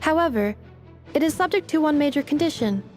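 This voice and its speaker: A woman's voice: high-pitched, expressive, brisk, darkish tone, faint music behind. A woman voicing a clipped, analytical robot companion in a video game. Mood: matter-of-fact.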